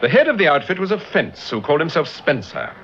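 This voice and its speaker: An actor playing a suave, articulate amateur detective in a mid-century British radio drama, with a Received Pronunciation accent. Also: male voice, fairly high pitch, sing-song, fairly bright tone. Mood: assured, explaining. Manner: urbane, articulate, measured explaining. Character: suave, assured male amateur detective, crime novelist. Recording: muffled old radio recording, background noise.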